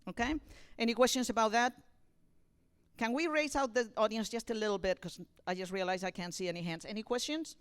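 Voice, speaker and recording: A woman's voice: a little high, fairly quick, smooth. A woman giving an informal, explanatory introduction to a theater audience, speaking to the room directly as a director would.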